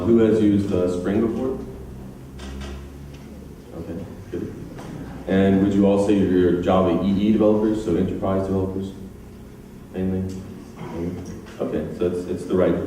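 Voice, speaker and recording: American male tech speaker, deep mellow voice, relaxed and chatty, recorded from afar in a big echoey hall. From United States. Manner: relaxed, chatty, frequent ums and uhs. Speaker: conference speaker. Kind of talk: technical conference talk. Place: large conference hall. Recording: echoey hall, distant mic, faint noise.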